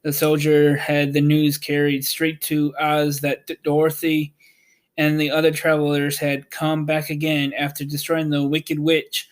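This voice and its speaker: A man reading a children's storybook aloud in a measured, plain narrating style. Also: on the high side, completely flat delivery. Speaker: audiobook narrator and host.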